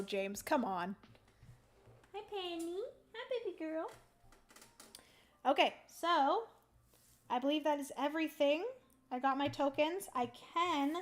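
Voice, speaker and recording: A young woman on a livestream, talking through her moves in a solo board game and chatting with viewers, her voice going a little hoarse. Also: high voice. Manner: chatty, thinks aloud, greets chat viewers. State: losing her voice. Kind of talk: casual livestream play-by-play.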